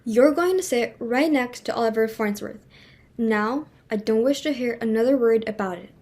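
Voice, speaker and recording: A woman acts out a haughty society hostess and mother from a stage comedy, with a high voice. She is imperious and quick to scold, easily flustered and full of indignation. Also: a little mellow, close to an everyday voice. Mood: exasperated, scolding. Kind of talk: dramatic reading of a stage comedy.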